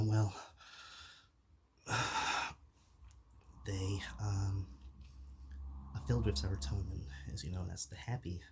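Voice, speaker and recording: A man playing a shy, soft-natured zombie in an ASMR roleplay, speaking softly with stammers and apologetic hesitation. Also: on the low side, breathy edge, exaggerated voice. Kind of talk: whispered ASMR roleplay addressed to the listener.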